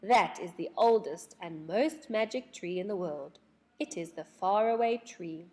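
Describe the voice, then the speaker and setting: A woman voicing a courteous, solemn male elf leader in a children's storybook, measured and polite. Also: on the low side, dark tone, soft background music, lightly acted.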